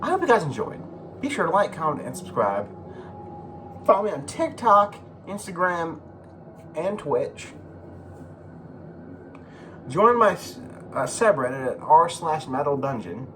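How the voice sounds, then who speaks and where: A man with a fairly high voice hosts a casual video. His pitch moves around a lot, while the halting, rambling delivery stays very slow. He talks informally and swears freely. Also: very animated, background noise. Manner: profane, informal. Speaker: YouTube host.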